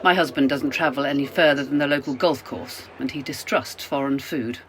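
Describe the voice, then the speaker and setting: A woman's voice: fairly quick, fairly bright tone. A woman playing a polite, talkative, slightly apologetic British middle-class traveller in a radio play. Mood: chatty. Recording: faint noise, train rumble.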